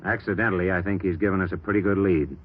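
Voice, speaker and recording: Low-voiced, decisive police captain. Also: male voice, steady tone, fast, breathy edge. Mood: resolute. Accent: American. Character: shrewd, decisive male police captain giving orders. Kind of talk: 1950s radio drama acting. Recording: dull vintage radio recording.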